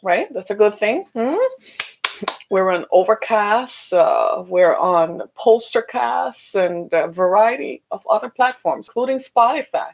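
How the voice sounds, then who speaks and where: Middle-aged woman hosting a podcast, chatty and a bit hoarse, keeps checking in with "right?". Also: measured pace. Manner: chatty, asks listeners "right?"; animated, rising pitch when mocking. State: losing her voice. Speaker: podcast host. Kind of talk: solo podcast talk.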